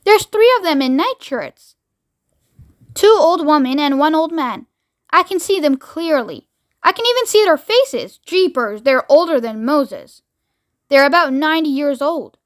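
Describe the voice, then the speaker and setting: Woman acting a flustered male astronaut, high voice, excitable, cheeky, emphatic, children's audiobook, close dry sound. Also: normal speed, dry recording. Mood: insistent.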